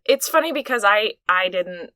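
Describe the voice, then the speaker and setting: A woman's voice: mid-range voice, lively intonation, moderate pace, sharp tone, no room sound, clean studio sound. A young woman co-hosting a podcast in casual chat, laughing often, recorded in a small booth. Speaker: podcast co-host.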